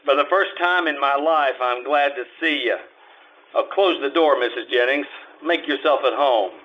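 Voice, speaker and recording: A man's voice: medium pitch, moderate pace, lo-fi sound, slight background noise, natural voice. A man playing a dry, sardonic hard-boiled private detective in old-time radio drama style. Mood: cool, questioning. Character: wry, hard-boiled male private eye. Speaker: community radio troupe actor.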